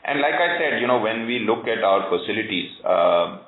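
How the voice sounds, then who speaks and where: Low-voiced man on an echoey phone line. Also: sing-song, moderate pace. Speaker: company executive answering analysts. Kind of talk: earnings call question-and-answer. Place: conference call over phone.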